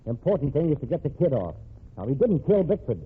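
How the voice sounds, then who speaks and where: An actor playing a slick, defensive American gangster lawyer in an old-time radio drama, argumentative and evasive. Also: male voice, a little high, very animated, fast talker, slightly rough, phone-quality sound, exaggerated voice. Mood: pleading, testy. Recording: thin, band-limited, noisy old radio recording.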